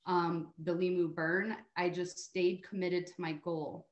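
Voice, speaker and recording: Female guest speaker, American, lowish pitch, encouraging testimonial style, roomy call sound. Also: flat delivery, unhurried, muffled recording. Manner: encouraging, emphatic, often adds "right?". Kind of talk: motivational testimonial, conversational. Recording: roomy, echoey call audio.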